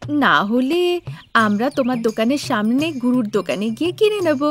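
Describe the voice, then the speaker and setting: A woman's voice: high-pitched, very animated, normal speed, slight background noise. A woman voicing a cheeky, wheedling schoolchild with a sweet tooth in a cartoon story. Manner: pleading, wheedling. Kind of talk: cartoon story character acting. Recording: music behind the voice.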